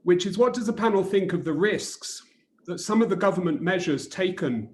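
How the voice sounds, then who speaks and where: A man asking a panel a question in formal, deliberate phrasing. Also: a little high. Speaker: audience member asking a question. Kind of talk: webinar question.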